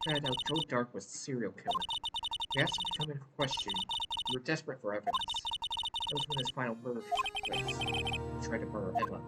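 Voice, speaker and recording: A woman voicing a guarded, formal female chief prosecutor in a video game, measured and resigned, with music behind. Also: extremely low pitch, lively intonation. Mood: grave, matter-of-fact.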